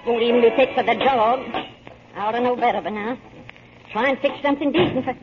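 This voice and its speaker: A woman's voice: a little high, quick pace, soft background music, close to an everyday voice. An actress playing an exasperated, fussy mother who nags her sons in a 1940s radio drama. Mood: exasperated scolding. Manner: fussy, sharp-tongued nagging. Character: scolding, exasperated mother of three grown and teen sons. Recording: muffled, noisy old radio broadcast.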